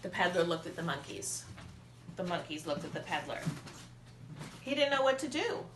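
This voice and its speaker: A woman preschool teacher reading a storybook aloud to young children, expressive and deliberate in her emphasis. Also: fairly low pitch. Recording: echoey room with faint noise.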